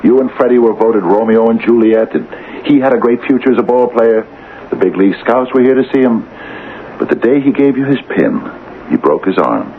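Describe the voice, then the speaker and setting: A man's voice: mid-range voice, expressive, brisk, lightly acted. An actor playing an anxious, superstitious small-town man who pleads and stammers earnestly, in an old-time radio drama. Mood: earnest, insistent. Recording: dull, hissy vintage radio recording.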